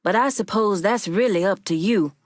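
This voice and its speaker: An actress plays a proud, hard-edged woman crime boss from a video game. She talks bluntly and deliberately, with streetwise authority and a profane tongue. Her pitch sits in the middle for a woman, and the recording is close and dry. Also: no room sound, close to an everyday voice. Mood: warm, calm. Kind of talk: dramatic video game character acting.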